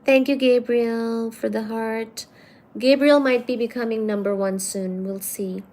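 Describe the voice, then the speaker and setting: A woman's voice: a little high, fairly even intonation, unhurried, a little mellow. A woman hosting a livestream, chatting casually and teasingly as she reads out viewer comments. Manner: playful and teasing, calls viewers darling. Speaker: livestream host.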